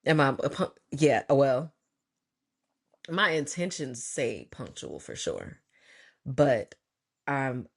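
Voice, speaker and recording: A man's voice: medium pitch, sing-song, takes it slowly, gravelly, pauses often. A man hosting a podcast, chatting casually and laughing at himself. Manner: chatty, self-deprecating, laughs a lot. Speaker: podcast host. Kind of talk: casual interview chat.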